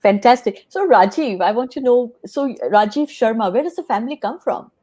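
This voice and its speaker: A woman hosting an interview show, opening with a scripted introduction and then asking her guest measured, earnest questions. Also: on the high side, expressive, warm tone. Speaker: podcast host.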